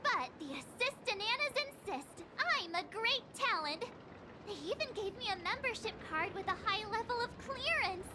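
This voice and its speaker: A woman's voice: very high voice, expressive, moderate pace, very noisy. A chirpy naive young woman. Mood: flustered. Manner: flustered, earnest. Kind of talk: video game voice acting. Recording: game audio with loud music.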